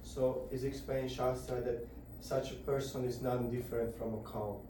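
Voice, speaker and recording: A man giving a devotional scripture lecture to a group indoors, halting and searching for words as he explains. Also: mid-range voice, flat delivery, noisy background. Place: indoor gathering room. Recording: very echoey room, voice far off.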